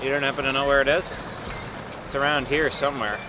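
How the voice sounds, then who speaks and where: A man's voice: medium pitch, steady tone, fast. Wry man, noisy surroundings. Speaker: pro triathlete. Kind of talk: casual vlog chat.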